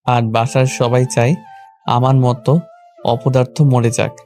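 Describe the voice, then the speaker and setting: A man with a Bangladeshi accent narrating a scripted first-person romantic story in a plain, even delivery. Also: mid-range voice, a little slow, soft background music. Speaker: narrator and lead character of a romantic audio story.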